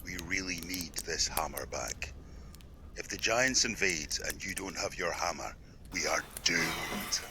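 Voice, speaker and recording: An actor playing a weary, stern elder god who speaks slowly and gravely. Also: male voice, low-pitched, background noise. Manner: slow, flat, grave. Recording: distant voice with noticeable ambience.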